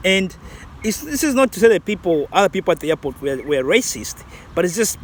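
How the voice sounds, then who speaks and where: A man in his late thirties with a fairly high-pitched voice. He muses in a loose, reflective way, circling back and restarting his thoughts. Faint traffic hums behind him outdoors. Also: very animated, a little fast. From Zambia. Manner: reflective, rambling, many restarts. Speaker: vlogger. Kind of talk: casual vlog commentary.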